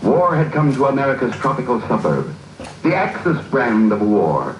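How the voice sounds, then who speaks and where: A man's voice: mid-range voice, big pitch swings, normal speed. A man narrating in a scripted 1940s wartime propaganda newsreel style, dramatic and declamatory. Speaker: film narrator. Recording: old 1940s film soundtrack, muffled, distant, hissy, echoey.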